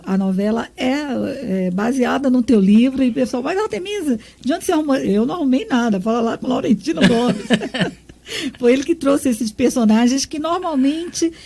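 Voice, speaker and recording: A woman from Brazil with a mellow, dark-toned voice, hosting a radio interview. She is effusive, thanking and praising her guest. She often checks in with small tag questions. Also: a little high, normal speed, gravelly. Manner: warm, effusive. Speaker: radio host.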